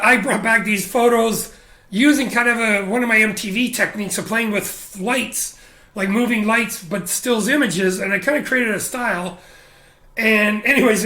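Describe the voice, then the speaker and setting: A middle-aged man from Canada, a guest telling stories in a casual interview, animated and laughing often. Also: high voice, echoey room.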